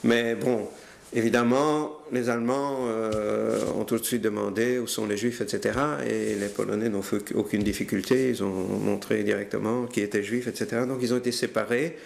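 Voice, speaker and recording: An older man recounting his family's history in a hesitant, halting way, with many fillers and restarts. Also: fairly low pitch, fairly even intonation, gravelly. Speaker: interviewee telling family history. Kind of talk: hesitant spoken recollection.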